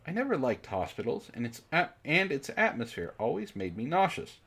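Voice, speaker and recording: A man with a husky voice reading a story dramatically and breaking in with sarcastic, exasperated commentary. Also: medium pitch, big pitch swings, normal speed, a bit bright. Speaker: book club reader and commentator.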